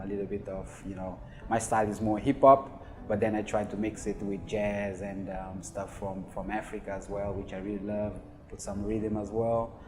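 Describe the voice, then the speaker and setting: A man giving a laid-back, halting self-introduction. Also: lowish voice, darkish tone. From Cape Verde. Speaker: finance professional and musician. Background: music.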